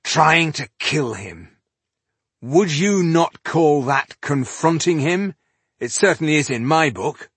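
A man's voice: on the high side, expressive, slow pace, a bit bright, breathy edge, no echo, voice pushed up, heavily acted. Man as a snarling, commanding dwarf warrior. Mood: furious. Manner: snarling, emphatic, scathing. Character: commanding, wrathful dangerous male dwarf warrior.

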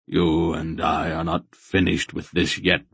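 A man doing a gruff, impatient old grandfather. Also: a bass voice, monotone, measured pace, fairly bright tone, no echo, full character voice. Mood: stern scolding. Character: irritable, demanding old grandfather.

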